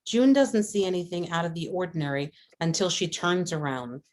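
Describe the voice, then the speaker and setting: A woman's voice: lowish voice, moderate pace, darkish tone. A woman reading scripted stage directions aloud at a script table read. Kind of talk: scripted narration of screenplay action.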